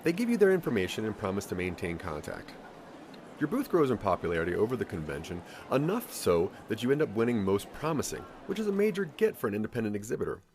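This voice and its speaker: A man's voice: fairly low pitch, big pitch swings, quick pace, darkish tone, a little hiss or hum. A man narrating a scripted interactive audio story in the second person, with a theatrical storytelling delivery.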